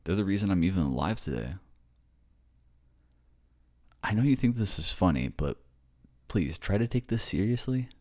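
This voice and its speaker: A man's voice: low-pitched, very animated, moderate pace, long pauses, darkish tone. A man acting in an ASMR roleplay as an earnest, reassuring boyfriend raised by ghosts, with a hesitant manner. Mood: insistent, pleading.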